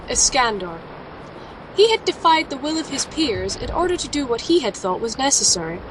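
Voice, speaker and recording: Thoughtful warrior alchemist, acted by a woman, slightly high pitch, serious, measured, audio drama, faint background noise. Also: natural voice, poor audio. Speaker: lead character and narrator. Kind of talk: audio drama dialogue and reflective first-person narration.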